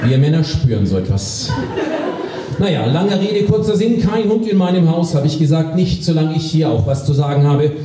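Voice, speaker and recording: A man performs a humorous spoken-word text on stage, deadpan, with theatrical pauses and emphasis. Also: fairly high pitch, noisy background. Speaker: poetry slam performer. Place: small club stage with live audience. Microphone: stand microphone on stage, picked up by distant camera. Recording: very echoey hall, distant camera microphone, audience.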